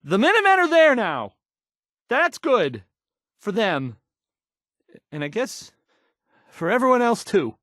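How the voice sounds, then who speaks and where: Stammering, nervous young radio DJ. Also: male voice, very high voice, wildly swooping pitch, a bit bright, no echo, studio quality, exaggerated voice. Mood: surprised, awkward. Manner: halting, stammering, hesitant, trailing off. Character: nervous, awkward, unsure young male radio DJ. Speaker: radio news announcer. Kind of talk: bumbling radio news report in a video game.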